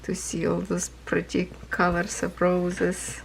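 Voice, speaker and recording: Hoarse, slow-talking woman crafter. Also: on the low side, monotone, a little hiss or hum, poor audio. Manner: rambling, non-native phrasing, laughs often. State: losing her voice. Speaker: craft vlogger. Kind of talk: casual chat while stitching.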